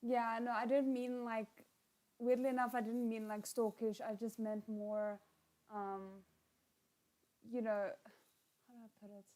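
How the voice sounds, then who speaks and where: A woman hosting a live watchalong, reacting casually and chatting with viewers in a halting, unhurried way, often trailing off mid-thought. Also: on the high side, little pitch movement, dark tone.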